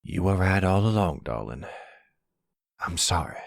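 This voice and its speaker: A man acts out a gentle older grandfather full of regret. His voice is deep and unhurried, soothing and measured, as in an intimate roleplay audio drama. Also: lively intonation, creaky, no room sound, studio quality, full character voice. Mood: regretful, tender. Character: remorseful older grandfather, silver fox, tender, soothing.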